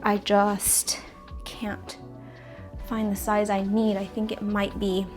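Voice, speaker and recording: A woman's voice: mid-range voice, completely flat delivery, slow, darkish tone, soft background music. A sniffly woman chatting casually and rambling a little while she rearranges houseplants in her apartment. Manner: chatty, rambling, self-correcting. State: sniffly, stuffy nose. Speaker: plant vlogger. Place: apartment plant room.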